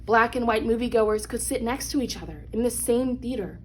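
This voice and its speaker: Higher-voiced woman reading a children's book aloud. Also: a little fast. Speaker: read-along storyteller. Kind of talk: expressive children's picture book read-aloud. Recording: clear background noise.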